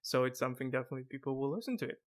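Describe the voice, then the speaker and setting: Give me a monotone, laughing host. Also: male voice, medium pitch, rapid-fire. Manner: monotone, laughs often, many restarts. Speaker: podcast host. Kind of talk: casual video-call chat.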